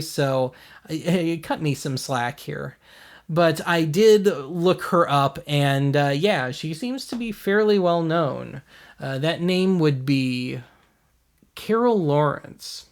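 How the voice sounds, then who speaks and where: An American man hosting a video series, giving casual commentary in a wry, blunt, self-deprecating way. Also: a little high. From United States.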